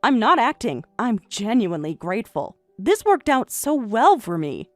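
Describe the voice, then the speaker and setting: A woman voicing a calm young wife who is unbothered by her husband's affair, speaking flat, quiet and deadpan in a voiced story drama. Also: mid-range voice, moderate pace, dry recording, faint music behind, lightly acted.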